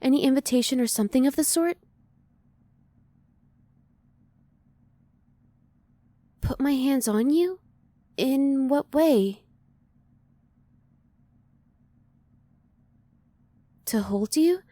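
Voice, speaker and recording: A woman voices a polite, analytical alien woman with a fairly high, mellow voice. She is soft-spoken and emotionally reserved, choosing formal words with measured curiosity. The sound is close and dry, in the style of an ASMR roleplay. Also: expressive, no room sound. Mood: curious, tentative. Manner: formal, measured, precise diction.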